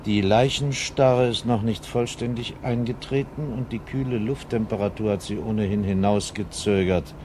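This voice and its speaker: An actor playing a gruff, sarcastic Scotland Yard chief inspector in a 1970s German radio crime drama, mocking and needling. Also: male voice, on the low side, steady tone, moderate pace, few pauses, warm tone, a little hiss or hum, close to an everyday voice. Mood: matter-of-fact.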